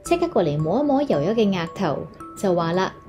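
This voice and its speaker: Woman, Hong Kong Cantonese accent, expressive picture-book narration for kids, very varied intonation, light music. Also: fairly low pitch, a little fast. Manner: expressive, addressing young children. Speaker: children's storyteller. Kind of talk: read-aloud children's story narration.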